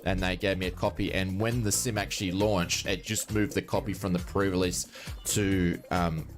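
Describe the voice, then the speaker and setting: A man's voice: low-pitched, steady tone, normal speed. Aussie guy streaming flight sim, nasal from hay fever, rambly chat, faint music behind. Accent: Australian. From Australia. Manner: rambling, chatty, answers chat. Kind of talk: casual livestream chat.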